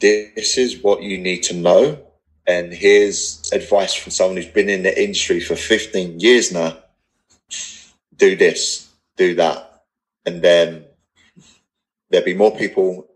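A man's voice: low-pitched, steady tone, a little slow, pauses often, low-quality recording. A man in his thirties with an unposh English accent, talking candidly as a podcast guest in an earnest, rambling, blunt way. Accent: English, not posh. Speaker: podcast guest, personal trainer business owner. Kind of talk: casual podcast interview, candid talk.

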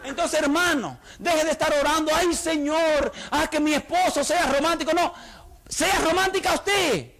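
A man's voice: extremely high pitch, normal speed, a bit bright, a little hiss or hum. A middle-aged man from the Dominican Republic with a Dominican accent, preaching a sermon and acting out the voices of the people he quotes. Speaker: pastor.

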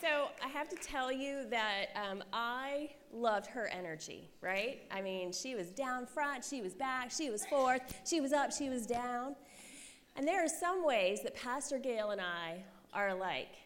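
A woman's voice: a little high, lively intonation, a little slow, fairly bright tone. Chatty American woman in a reverberant hall. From United States. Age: 40s. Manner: chatty and self-deprecating, frequent "right?" and "okay?" asides, laughing. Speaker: conference speaker, pastor's wife. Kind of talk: humorous conference sermon. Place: conference hall with a live audience. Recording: reverberant hall, faint room noise.

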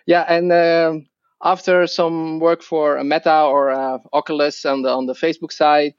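A middle-aged man with a Dutch accent, a podcast guest who speaks English as a second language and talks haltingly with many restarts and fillers. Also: fairly high pitch, little pitch movement, clean tone, dry recording, highs cut off. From Netherlands. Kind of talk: podcast interview.

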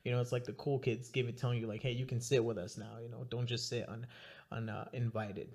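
A man's voice: medium pitch, flat delivery, quick pace, darkish tone, breathy edge. A young adult man hosting a podcast in casual interview chat. He laughs often and talks loosely, with restarts and asides. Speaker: podcast host.

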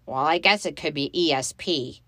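A woman's voice: sits low, moderate pace, pushed and bright, dry recording. A skeptical young boy from a storybook, read by a woman narrator. He talks in a reasoning, thoughtful way, doubtful of anything uncanny.

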